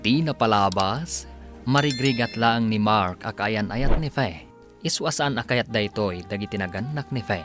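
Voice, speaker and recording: A man's voice: lowish voice, moderate pace, over music. A man with an Ilocano accent narrating a radio drama as its announcer, in a dramatic scripted style.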